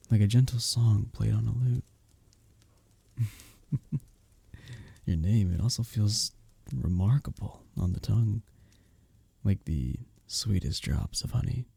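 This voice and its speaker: Man playing a dark-voiced, breathy, flirtatious medieval innkeeper. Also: on the low side, no echo, heavily acted. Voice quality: breathy, soft. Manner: flirtatious, courtly, soft chuckles between phrases. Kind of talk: intimate ASMR roleplay with flowery old-fashioned speech.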